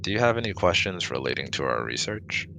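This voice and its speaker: A man's voice: low voice, big pitch swings, brisk, gravelly, bright, forceful tone, slight background noise, exaggerated voice. A man playing a formal, easily exasperated alien exobiologist with stiff, precise wording in a scripted ASMR audio roleplay. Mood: formal, reassuring.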